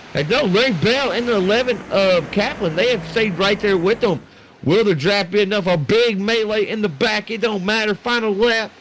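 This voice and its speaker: Male sim racing commentator, slightly high voice, folksy and excitable, over faint engine noise. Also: poor audio. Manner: animated, folksy, colloquial. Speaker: race commentator. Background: racing game vehicle noise.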